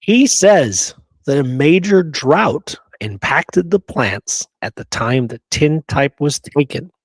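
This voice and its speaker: A man's voice: on the high side, lively intonation, slow pace, slightly rough, no echo. A man co-hosting a casual discussion, speaking emphatically and often checking in with short rhetorical questions. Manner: emphatic, rhetorical check-ins like "right?" and "okay?". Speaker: podcast co-host.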